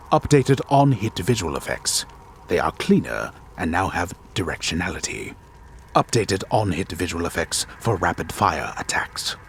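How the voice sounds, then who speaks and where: Game storyteller: disembodied, grave, theatrical man, deep register, animated melodic phrasing, formal scripted reading. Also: slight background noise. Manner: grave, measured, theatrical delivery of dry text. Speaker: game narrator. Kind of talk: dramatic reading of scripted patch notes.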